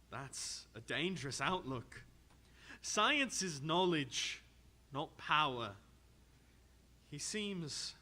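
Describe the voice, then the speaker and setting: A man acting as an earnest, cautious gentleman scientist in a radio drama, deliberate and theatrical. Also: mid-range voice, exaggerated voice.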